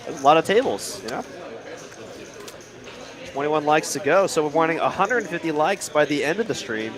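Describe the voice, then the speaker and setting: A man's voice: a little high, expressive, moderate pace, a crowd in the background. A young man hosting a live poker stream, upbeat, thanking viewers and reading the chat aloud. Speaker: livestream host and poker commentator.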